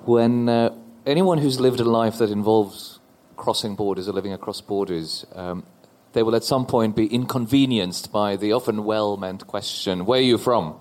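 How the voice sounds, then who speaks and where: A man in his forties from Norway, a panel guest telling a personal story in a thoughtful, halting way on stage before an audience. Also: fairly low pitch, darkish tone. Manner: thoughtful, halting with repeated restarts.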